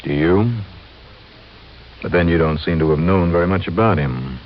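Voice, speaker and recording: An actor playing a wry, hard-boiled insurance investigator in 1950s radio detective style, sardonic and clipped. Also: male voice, deep voice, normal speed, slight background noise, natural voice. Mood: probing, sardonic. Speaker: lead actor and narrator. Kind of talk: 1950s radio detective acting, first-person narration. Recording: muffled old radio broadcast, music behind.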